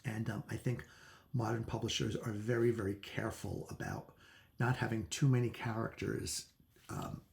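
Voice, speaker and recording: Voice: medium pitch, lively intonation, moderate pace, creaky. A book reviewer talking casually and thinking aloud, chatty and opinionated, with hesitant phrasing.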